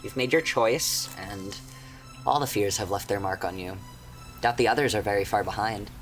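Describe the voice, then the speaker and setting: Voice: sits low, moderate pace, faint music behind. An actor playing a smug, taunting old friend who has turned to a destructive power, speaking casually and with amusement in a horror drama. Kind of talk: conversational horror audio drama acting.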